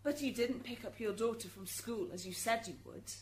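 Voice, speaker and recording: A relentless prosecutor in a radio-play trial, acted by a woman. She is curt, insistent and accusing, in a high voice. A faint noise runs underneath. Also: normal speed, natural voice, rough recording. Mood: probing. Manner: pointed, insistent questioning. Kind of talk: radio drama acting.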